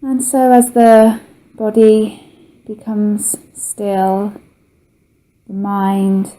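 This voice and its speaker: A woman guiding a relaxation meditation as a yoga nidra teacher, speaking in a soothing, gentle, unhurried way with long pauses. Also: mid-range voice, poor audio.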